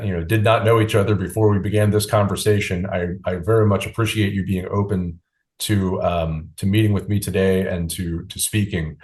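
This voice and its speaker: A man with a very deep voice hosts an interview, feeling his way through his questions. He restarts phrases and leans on "um" as he works toward each point. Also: darkish tone. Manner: hesitant, searching for words with restarts and "um"s. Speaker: podcast host.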